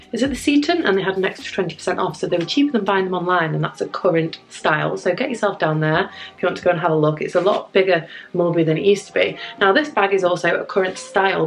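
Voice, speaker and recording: Young woman, fashion vlogger, husky cold-roughened voice, lowish pitch, bubbly direct-to-viewer chat, light music bed. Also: rough recording. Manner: upbeat, chatty, addresses viewers directly. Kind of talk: chatty product unboxing review. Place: home room with framed prints, indoors.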